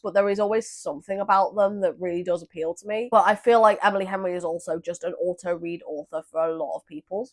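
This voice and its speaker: A woman chats casually to camera about books. Her voice is a little hoarse and froggy today. She sounds friendly and self-deprecating, at an ordinary mid pitch. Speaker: book vlogger. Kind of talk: casual booktube chat.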